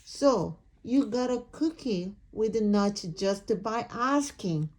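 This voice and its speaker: A woman's voice: a little high, expressive, slow, a little mellow, a little hiss or hum. A woman voicing a dramatic, complaining storybook pigeon with a whiny, emphatic delivery. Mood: boastful grumbling. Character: indignant, dramatic pigeon who complains and pleads. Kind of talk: picture book read-aloud character.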